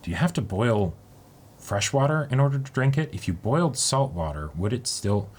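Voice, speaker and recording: A man's voice: medium pitch, expressive, normal speed, a little mellow. A man co-hosting a fan channel in casual, rambling conversation, acting out imagined quotes as he goes. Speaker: co-host of an anime fan channel.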